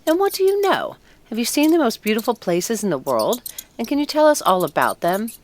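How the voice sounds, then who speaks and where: A woman's voice: fairly high pitch, sing-song, a little fast, a little hiss or hum, voice pushed up. A woman voicing tiny, curious storybook mice with eager, wide-eyed questioning. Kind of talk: storybook character voice.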